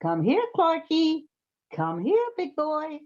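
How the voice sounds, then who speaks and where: Wry, matter-of-fact heroine detective played by a woman, radio comedy, expressive intonation, slightly dull audio. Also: sits high, takes it slowly, full character voice. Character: wry, practical woman detective seeking odd jobs. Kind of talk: comic old-time radio play acting.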